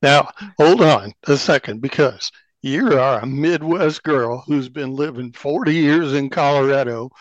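A chatty, playful male host with a middle-pitched voice, recorded close and dry. He keeps the conversation moving with light, easy questions. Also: measured pace, few pauses, no echo, lo-fi sound. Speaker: podcast host. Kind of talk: casual interview chat.

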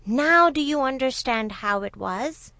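Bossy storybook tiger acted by a woman, loud, exasperated, raised pitch. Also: expressive, unhurried, dry recording, heavily acted. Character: impatient, hungry male tiger, growling and bossy.

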